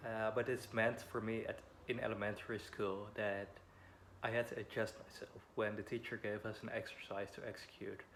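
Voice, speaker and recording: A man's voice: lowish voice, flat delivery, a little fast, a bit bright. Hesitant Dutch-accented young man. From Netherlands. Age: 20s. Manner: hesitant and apologetic, frequent ums. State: a bit nervous. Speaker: vlogger. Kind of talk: personal storytelling to camera. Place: home beside a busy road. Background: faint wind and passing traffic.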